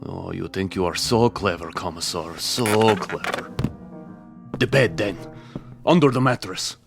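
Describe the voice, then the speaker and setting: An actor playing a proud, trapped military major in a radio drama, muttering tensely to himself in short, halting bursts. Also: male voice, sits low, animated, gravelly, full character voice. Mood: wary, searching. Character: trapped Soviet major, tense, proud, desperately hunting for danger. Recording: music behind the voice.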